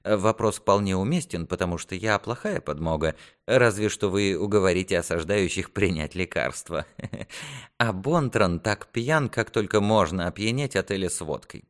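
A man's voice: low voice, moderate pace, hardly any pauses, close to an everyday voice. A man voicing a sly, sardonic physician in a storybook, mock-humble and obsequious. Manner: mock-humble, hesitant, chuckling. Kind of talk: audiobook character acting.

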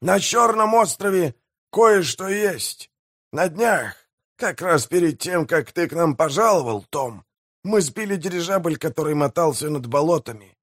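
A man's voice: on the high side, animated, unhurried, a bit bright, no room sound, clean studio sound, pitched above the performer's own voice. A man voicing a boastful, greedy pirate mayor in an audiobook, blustering and commanding in a theatrical way. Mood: gleeful, scheming.

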